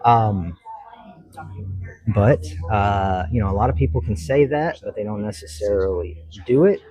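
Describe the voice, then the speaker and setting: A man's voice: on the low side, a little slow, warm tone, lo-fi sound. A man, a judge answering interview questions in an earnest, reflective way and explaining at length. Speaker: judge, talk show guest.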